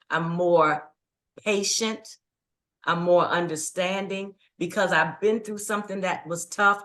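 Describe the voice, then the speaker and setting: Middle-aged woman, low-ish voice, thoughtful and earnest storytelling. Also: a bit bright. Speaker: guest, writing coach. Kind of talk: casual interview chat.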